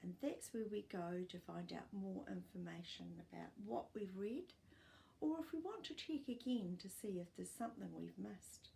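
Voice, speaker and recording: A middle-aged woman reading aloud to young children as a storytime host, expressive and explanatory, with small asides. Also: medium pitch, normal speed, vocal fry, a little hiss or hum, poor audio. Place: living room at home.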